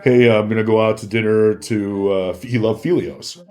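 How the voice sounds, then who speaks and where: A man with a fairly low voice chats as an interview guest. He tells his anecdotes informally, in a halting way, repeating words for emphasis. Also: fairly even intonation, a little mellow. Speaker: interview guest, former bodyguard. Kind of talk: casual anecdotal chat.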